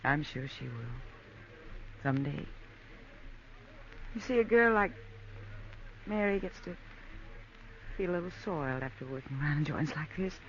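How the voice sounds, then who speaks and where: An actress playing a guarded, wistful, world-weary young nightclub woman in measured 1940s radio drama style. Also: deep voice, animated. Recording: muffled, noisy old radio recording.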